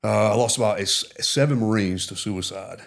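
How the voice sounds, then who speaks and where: A man's voice: lowish voice, fairly quick, gravelly. A middle-aged man, a retired Marine, talking earnestly and reflectively as a podcast guest, with many "you know" fillers. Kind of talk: casual interview.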